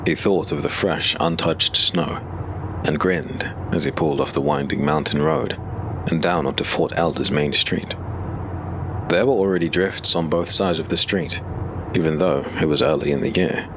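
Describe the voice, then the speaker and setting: A man with an exceptionally deep voice narrates a dark fantasy audiobook in a measured, ominous manner. His delivery is scripted and steady. A clear hiss runs under the recording.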